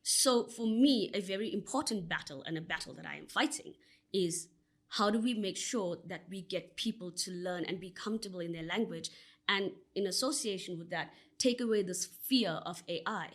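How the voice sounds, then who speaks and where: A woman's voice: on the low side, normal speed. A South African woman answering as a podcast guest, earnest and expansive, with frequent "you know" fillers. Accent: South African English. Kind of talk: conversational interview answer.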